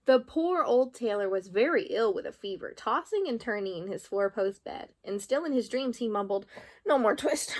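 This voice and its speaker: A woman reading a children's storybook aloud expressively, drawing out some phrases. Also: fairly high pitch.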